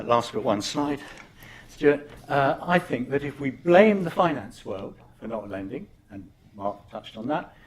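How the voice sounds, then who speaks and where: Man, husky, emphatic, argumentative, conference talk. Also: mid-range voice. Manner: emphatic, argumentative, repeats key phrases. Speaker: panel speaker. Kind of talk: conference presentation.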